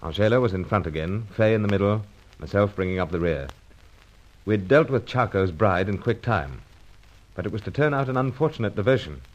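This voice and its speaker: An actor playing a gentlemanly British secret agent in an old radio adventure serial, clipped and commanding. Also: male voice, lowish voice, animated, a little fast, dull audio, a little hiss or hum, close to an everyday voice. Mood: tense narration. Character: calm heroic British secret agent, leader and narrator.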